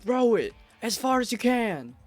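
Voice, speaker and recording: A man's voice: extremely high pitch, big pitch swings, measured pace, faint music behind, full character voice. A man playing a terse, wary, commanding squad leader in an amateur game fan dub.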